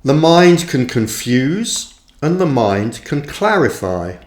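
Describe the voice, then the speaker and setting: A man reading his own reflective book aloud like an audiobook, with deliberate, measured phrasing and pauses. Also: mid-range voice. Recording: roomy, echoing voice recording.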